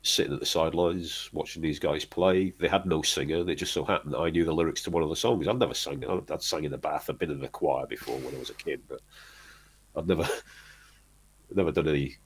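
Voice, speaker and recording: Very deep, husky man telling stories. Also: a little fast. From Manchester, England. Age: middle-aged. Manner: rambling storyteller, restarts often, chuckles. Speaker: guest, band singer. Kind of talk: casual interview chat.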